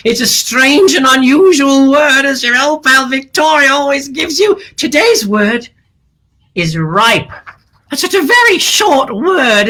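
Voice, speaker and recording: Lady doing a showy diva kids' tv host, slow and drawn out, swoopy high voice. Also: bright, forceful tone, full character voice. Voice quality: theatrical, drawn-out words, breaks into cackling laughs. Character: flamboyant, self-adoring female TV hostess, grand and theatrical. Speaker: children's show host parody. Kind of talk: comic character sketch.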